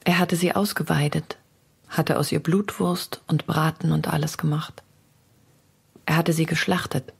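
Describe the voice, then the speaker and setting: A woman giving a dramatic reading of literary prose in a breathy, husky, creaky voice. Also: low-pitched, fairly even intonation, measured pace.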